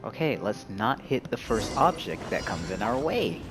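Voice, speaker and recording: A man's voice: on the low side, big pitch swings, moderate pace. A man giving casual game reaction commentary in short, amused, muttered asides. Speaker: gaming video creator. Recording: loud game music behind the voice.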